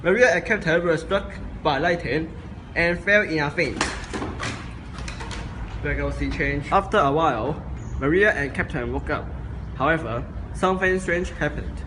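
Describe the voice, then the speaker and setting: A man narrating an amateur skit in a plain, steady reading voice. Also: a little high, unhurried, pauses often. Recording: echoey room, noisy.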